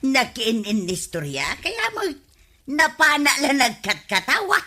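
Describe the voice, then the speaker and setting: An actress playing a carefree, giggly village wife in an Ilocano radio comedy drama, teasing and evasive. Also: female voice, high voice, huge pitch swings, measured pace, sharp tone, full character voice. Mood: teasing, amused.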